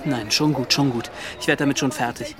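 A man's voice: mid-range voice, fairly even intonation, fairly quick, close to an everyday voice. An actor playing a touchy, proud, defiant young seaman who speaks confrontationally in a radio drama. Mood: accusing, defiant.

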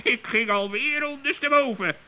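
Grumpy bird guy, high sharp squawk, huffy but loyal, kids radio play. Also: normal speed. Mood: reluctant, grumbling. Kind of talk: children's radio play acting.

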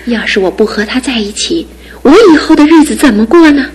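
An actress playing a devoted young fiancée in a studio radio drama, speaking earnestly and with soft insistence. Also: female voice, on the high side, lively intonation, brisk, natural voice. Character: devoted young fiancée, gentle but resolute.

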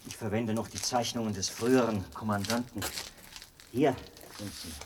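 An actor in a German radio play as a zealous, meticulous officer who explains a machine with pedantic pride. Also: male voice, fairly low pitch, fairly even intonation, moderate pace, background noise, lightly acted. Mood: proud, insistent.

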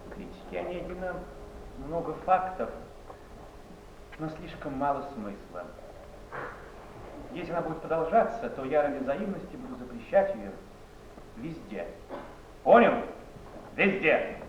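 A sardonic, imperious man on stage. His voice is high and varies widely. The recording is distant and echoey. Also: expressive, a little slow, long pauses, noisy background. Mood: cold, menacing. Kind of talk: theatrical stage acting.

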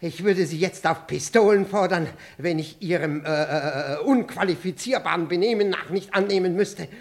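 An actor in a 1960s German radio play voicing an indignant, flustered, pompous jealous husband who stammers through formal phrases. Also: male voice, high-pitched, normal speed. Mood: furious.

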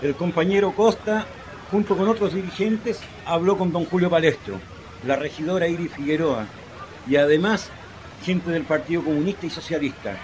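A man's voice: on the high side, moderate pace, close to an everyday voice. A man playing an earnest, poor Chilean shantytown husband in an emotional radio drama. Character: desperate poor shantytown husband, consoling and resolute. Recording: noisy, with crowd ambience behind.

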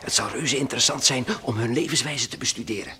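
An actor playing a brave, level-headed space adventurer in a Dutch radio play, hearty, reassuring and gently scolding. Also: male voice, medium pitch, fast, a little hiss or hum. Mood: eager, steadying.

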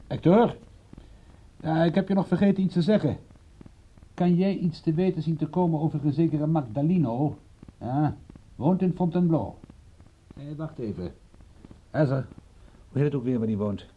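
An actor in a radio drama playing a wry, stubborn private detective with an offhand way of talking. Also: male voice, fairly high pitch, moderate pace, long pauses, slightly rough. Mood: brisk, instructing. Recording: muffled, dull old radio recording.

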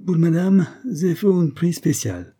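Man playing a courtly old shopkeeper, pitched up. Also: lively intonation, measured pace, dark tone, breathy edge. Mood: bargaining. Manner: obsequious, salesmanlike, ornate politeness.

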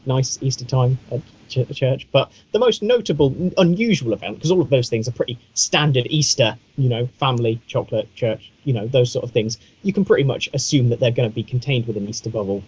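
A man's voice: mid-range voice, brisk, hardly any pauses, a little mellow, slightly rough, highs cut off. A man chatting casually as a podcast co-host, with a wry, self-mocking and discursive way of talking.

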